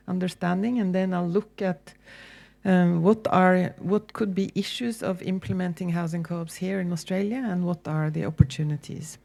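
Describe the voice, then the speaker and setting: A woman with a Norwegian accent giving a relaxed, conversational public lecture as a university lecturer, with frequent ums and restarts. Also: lowish voice, vocal fry. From Norway.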